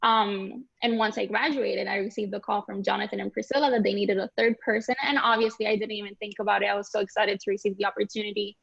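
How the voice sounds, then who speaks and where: A woman's voice: mid-range voice, fairly even intonation, fast talker, vocal fry, hardly any pauses, husky, dry recording, muffled recording. A young woman from Cuba, enthusiastic and friendly, presenting on a video call. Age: young adult. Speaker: nonprofit staff member. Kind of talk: webinar presentation.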